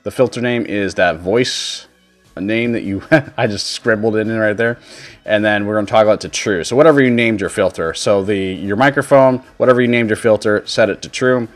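Male streamer, lowish voice, casual walk-through delivery over light music. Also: a little fast. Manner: informal step-by-step explaining. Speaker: streamer giving a tutorial. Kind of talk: casual software tutorial. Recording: music behind the voice. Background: background music.

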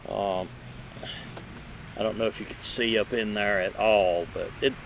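A man in his fifties presenting a casual show-and-tell as a video host, halting and lingering over his words, recorded outdoors a few feet from the camera. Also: fairly low pitch, vocal fry. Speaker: vintage radio collector and video host. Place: outdoor table in a wooded yard. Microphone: camera a few feet away. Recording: steady outdoor background noise.

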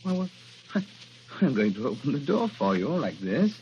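An actor as a smug, swooping 1940s radio villain. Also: male voice, medium pitch, very animated, moderate pace, dark tone, close to an everyday voice. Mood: smugly amused. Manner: mock-polite, taunting. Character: smug, menacingly genial wealthy man hiding a secret. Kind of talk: 1940s radio thriller acting. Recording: muffled, noisy 1940s radio recording.

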